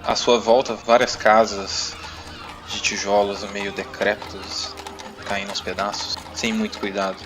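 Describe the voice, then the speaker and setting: A man with a Brazilian accent, narrating a tabletop roleplaying adventure as game master. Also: fairly low pitch. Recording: music playing behind the voice. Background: music.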